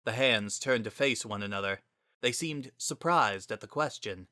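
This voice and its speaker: Bright male story narrator, dry recording. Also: mid-range voice, moderate pace, no room sound.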